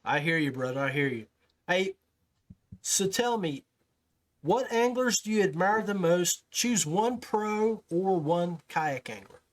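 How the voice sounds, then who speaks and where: Higher-pitched man hosting a casual podcast. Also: lively intonation, a little slow. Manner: informal, addresses listeners and guest as guys, buddy, brother. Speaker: podcast host interviewing a guest. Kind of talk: casual talk show hosting.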